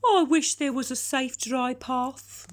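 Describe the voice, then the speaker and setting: A woman voicing a plaintive, howling dog in a children's storybook, calling out loudly. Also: high voice, slow pace, voice pushed up. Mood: distressed, plaintive. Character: stuck, pleading dog beside a bog.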